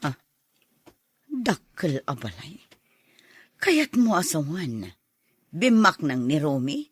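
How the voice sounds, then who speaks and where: Older Ilocano aunt, husky, lowish pitch, dry studio sound, radio drama. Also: female voice, sing-song, slow pace, dry recording, exaggerated voice. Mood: wistful, curious. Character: older aunt, lonely single woman seeking old flame.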